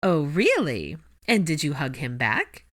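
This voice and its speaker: A woman's voice: deep voice, wildly swooping pitch, measured pace, heavily acted. A woman voicing a nosy, teasing teenage witch who pries with incredulous questions.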